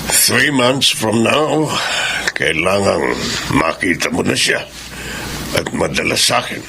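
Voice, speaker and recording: A man playing an ailing old tycoon in a radio drama, speaking in a commanding, deliberate way and slipping into English phrases. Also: deep voice, lively intonation, creaky, full character voice. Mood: grave, commanding. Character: ailing old male tycoon searching for a lost child. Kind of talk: Filipino radio drama acting. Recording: faint background noise.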